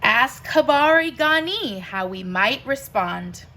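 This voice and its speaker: Very slow, bright-voiced woman reading to children. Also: fairly high pitch, expressive. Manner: deliberate, emphatic phrasing with pauses. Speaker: teacher reading a picture book aloud. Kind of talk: expressive children's read-aloud of rhyming verse.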